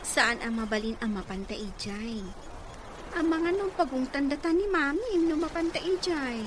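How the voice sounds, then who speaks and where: A woman's voice: sits high, expressive, normal speed. A woman with an Ilocano accent playing a cautious, indulgent aunt in a radio comedy drama. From Philippines. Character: cautious, indulgent aunt minding her niece. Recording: clearly noisy background ambience.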